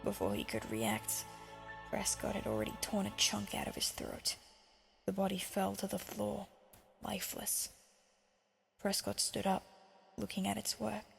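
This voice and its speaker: Female fan-fiction narrator, medium pitch with pronounced creak, over a music bed. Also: measured pace, long pauses. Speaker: fanfic author and narrator. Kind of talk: scripted horror story narration, casual outro. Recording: music under the voice.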